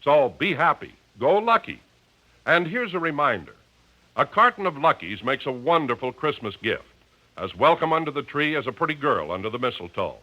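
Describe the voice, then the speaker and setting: Bright, lively male radio announcer. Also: medium pitch, moderate pace, lo-fi sound. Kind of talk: 1950s radio announcing and ad reading.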